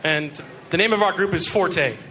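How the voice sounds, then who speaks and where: Male singer from Puerto Rico, high bright voice, casual audition chat. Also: normal speed. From San Juan, Puerto Rico. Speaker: singer auditioning on a talent show. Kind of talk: casual stage introduction. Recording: TV clip with audience behind. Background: audience.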